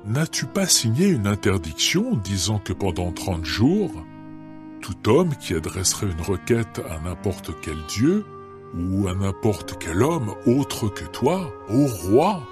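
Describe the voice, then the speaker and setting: A man's voice: on the low side, sing-song, takes it slowly. A man playing an accusing court official who reminds his king in a pointed, formal way in a dramatised scripture reading. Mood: pointed, insistent. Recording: clear background music.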